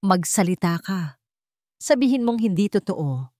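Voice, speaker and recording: A woman narrator performs a heartbroken wife in a romantic audio drama. She sounds anxious and pleading, with lively intonation and a very dry sound. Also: mid-range voice, measured pace, no room sound, natural voice. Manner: pleading, cracking with distress. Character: anxious, heartbroken wife betrayed by her husband.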